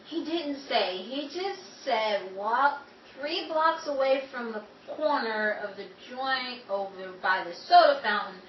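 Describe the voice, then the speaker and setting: A woman puts on a scatterbrained young gal from a 1930s radio comedy. She coaxes and teases in a high, playful, theatrical voice. It sounds echoey and a little far off, over noticeable noise. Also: animated, slow, highs cut off. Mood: breezy, oblivious. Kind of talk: 1930s screwball comedy radio-play acting. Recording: echoey, distant, noisy with crowd behind.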